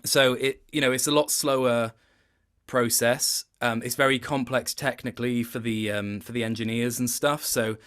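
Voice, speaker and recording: A man's voice: mid-range voice, steady tone, moderate pace, a bit bright, clean tone. A man speaking as a guest voice actor in an interview, explaining his work reflectively in stop-start phrases. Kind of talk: interview chat about performance work.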